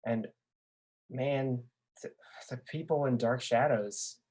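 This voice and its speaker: A man's voice: medium pitch, takes it slowly, darkish tone. Enthusiastic young man with mid-range voice. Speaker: podcast guest. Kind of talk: casual chat.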